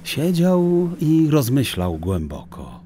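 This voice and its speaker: A man's voice: medium pitch, wildly swooping pitch, takes it slowly, dark tone, slight background noise. A man narrating a children's audiobook expressively, with theatrical, dramatic phrasing.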